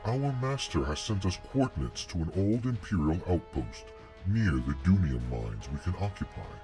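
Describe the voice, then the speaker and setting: A man's voice: deep voice, sing-song, normal speed, dark tone. A man playing a dutiful military subordinate in a sci-fi audio drama, formal and deferential toward his superior. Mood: dutiful, cautious. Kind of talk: scripted sci-fi audio roleplay acting. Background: background music.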